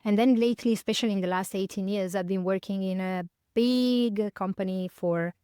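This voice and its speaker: Italian woman in her fifties, smooth voice. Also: mid-range voice, moderate pace, dark tone, no echo, clean studio sound. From northern Italy, near Milan. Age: 50s. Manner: thoughtful, many fillers and self-corrections. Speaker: podcast guest. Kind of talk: reflective conversational interview.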